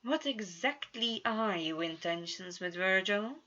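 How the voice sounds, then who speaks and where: A sly serpentine storybook schemer, a man as acted by a woman, drawling and knowing. The voice is bright and mid-pitched, on a slightly dull home recording. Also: lively intonation, a little slow. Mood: probing.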